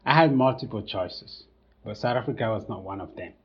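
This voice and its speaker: A young man with a Cameroonian accent, speaking as a podcast guest in a measured way with many pauses. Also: lowish voice, husky. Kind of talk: casual interview storytelling. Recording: dull lo-fi sound.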